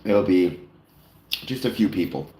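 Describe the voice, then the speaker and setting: A man's voice: low voice, lively intonation, unhurried, darkish tone, a little hiss or hum. A man hosting a casual late-night chat stream, talking to viewers with many fillers and self-corrections, a little nasal. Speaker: live stream host. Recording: roomy echo.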